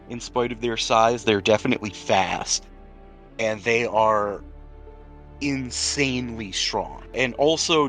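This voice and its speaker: A man narrates a tabletop role-playing game as game master. His pace is very slow and measured, with deliberate pauses between phrases. The pitch is average for a man, and faint music plays underneath. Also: fairly bright tone.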